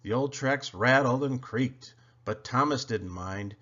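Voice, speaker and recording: A man reading a children's picture book aloud in an expressive, emphatic storytelling way. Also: mid-range voice, a little slow. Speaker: storybook reader. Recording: dull, lo-fi home recording.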